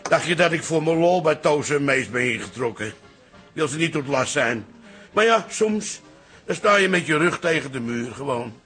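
A theatrically indignant old man in a radio comedy. His voice is high and bright, and he grumbles and wisecracks with great melodrama. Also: lively intonation, moderate pace, faint music behind. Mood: grumbling. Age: older. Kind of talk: radio comedy drama acting.

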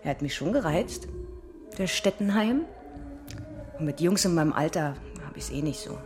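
A woman's voice: deep voice, lively intonation, normal speed, music in the background. A woman playing a restless, intense young wife who longs for freedom, in a radio drama.

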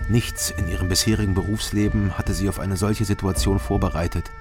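Actor as a low-voiced, weary crime hero over music. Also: male voice, steady tone, a little fast, close to an everyday voice. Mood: sober narration. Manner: sober, terse, restrained. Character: weary, downcast East German male investigator hero. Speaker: narrator and protagonist. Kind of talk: terse scripted first-person crime narration and dialogue.

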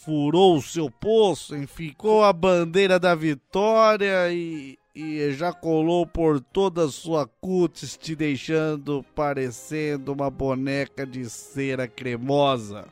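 A man playing a stern tiger police detective in a comedic audio drama, speaking formally and deliberately with cold sarcasm. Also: on the high side, clean tone, faint music behind.

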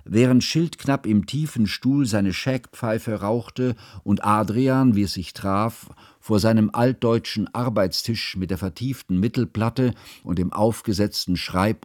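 Narrator for literary radio play: a learned chronicler, lowish pitch, formal, close dry sound. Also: male voice, a little mellow, no echo. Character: learned, formal male chronicler telling of his friend. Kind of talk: scripted radio drama narration. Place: dry studio.

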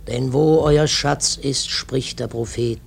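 A sanctimonious old skinflint of a captain in a radio drama, his voice creaky and gravelly over faint background noise. He preaches piously in scriptural tones while staying tight-fisted. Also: medium pitch, fairly even intonation, takes it slowly. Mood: pious, preaching. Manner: sanctimonious, preachy, muttering prayers. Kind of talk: German radio play acting.

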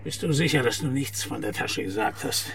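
Actor as menacing gravelly older crime boss. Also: male voice, medium pitch, a little fast, background noise, lightly acted. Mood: sneering interrogation. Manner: commanding, sneering, pompous. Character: menacing older male killer, self-important criminal patriarch. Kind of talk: German radio drama acting.

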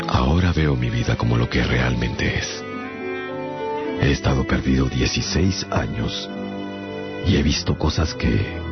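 A man playing a weary, haunted traveller estranged from humankind in a radio drama, speaking gravely and haltingly with a gravelly voice. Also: very deep voice, exaggerated voice. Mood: reflective, weary. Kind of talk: Spanish-language radio drama, first-person narration and dialogue. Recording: dull lo-fi radio production. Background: dramatic music.

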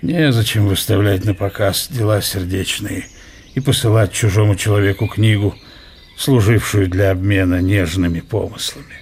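An actor playing an ailing old scholar-poet in a radio drama, with a wry, gentle, measured delivery. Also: male voice, fairly low pitch, steady tone, a little hiss or hum, close to an everyday voice. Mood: wry, resigned. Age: older.

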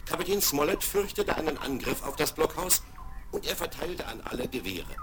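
A man narrating a 1970s radio play for children in a measured, even way, from an old vinyl record. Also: fairly high pitch, background noise. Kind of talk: scripted storybook narration.

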